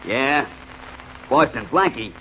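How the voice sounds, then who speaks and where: A man's voice: medium pitch, animated. A man playing a brash, joking music publisher in 1940s radio acting. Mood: breezy. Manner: brash, fast-joking, gruff. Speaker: supporting actor. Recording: dull, slightly hissy old radio broadcast.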